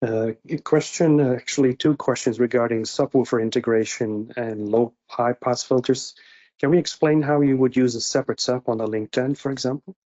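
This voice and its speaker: Mellow male voice, medium pitch, webinar moderator, dull muffled call audio. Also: moderate pace. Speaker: moderator reading out audience questions. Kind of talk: informal webinar Q&A. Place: indoor room on a video call.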